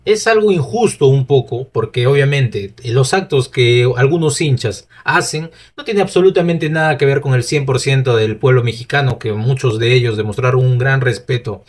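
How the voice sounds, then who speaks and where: Peruvian Spanish man, mid-pitched, chatty solo pundit who restarts lines and asks himself questions. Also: normal speed, hardly any pauses. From Peru. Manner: talkative, restarts often, rhetorical asides. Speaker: football opinion host. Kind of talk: solo opinion commentary.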